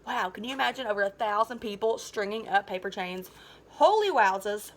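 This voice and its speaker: A woman's voice: mid-range voice, expressive, a little fast. A woman hosting a read-aloud of a children's novel, speaking in a chatty, upbeat, casual manner.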